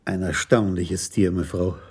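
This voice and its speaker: An actor playing a composed, direct senior police commissioner in a German radio crime drama. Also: male voice, sits low, steady tone, unhurried, darkish tone, slightly rough. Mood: polite.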